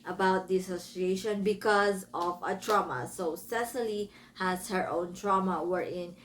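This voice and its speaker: A woman's voice: on the low side, fairly even intonation, measured pace, a little hiss or hum. A woman from the Philippines with a Filipino accent, speaking English as a second language, gives a casual book review, rambling and correcting herself as she retells a story. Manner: rambling, self-correcting, breaking off into asides.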